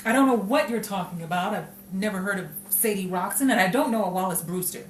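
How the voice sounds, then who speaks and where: A woman's voice: medium pitch, fast, close to an everyday voice. A woman playing a tough, defensive nightclub showgirl in a noir radio play, curt and defiant. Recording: echoey, distant, faint room noise.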